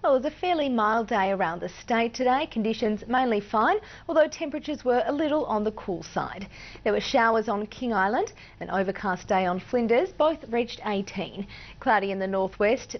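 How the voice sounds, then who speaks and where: A woman's voice: medium pitch, expressive, moderate pace, dull audio. An Australian woman presenting news and weather in a scripted bulletin style with some light banter.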